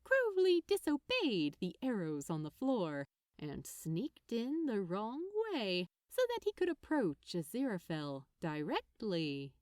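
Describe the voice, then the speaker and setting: A woman reading fiction aloud as narrator in an expressive storytelling style. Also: mid-range voice, takes it slowly, no room sound, studio quality.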